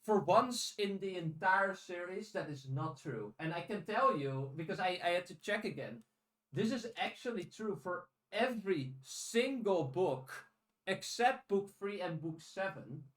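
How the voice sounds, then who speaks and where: A man co-hosting a podcast in a casual discussion, speaking haltingly with restarted phrases and casual swearing. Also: high-pitched, lively intonation, fairly bright tone. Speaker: podcast co-host. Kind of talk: casual discussion of audiobooks and fiction. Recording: roomy echo, distant from microphone.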